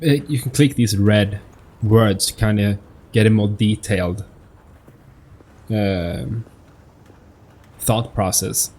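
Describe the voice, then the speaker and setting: A man's voice: lowish voice, steady tone, slow, pauses often, a little mellow, a little hiss or hum. A man giving casual game commentary as a let's-player, hesitant and often repeating himself while searching for words. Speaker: gaming host, let's-player.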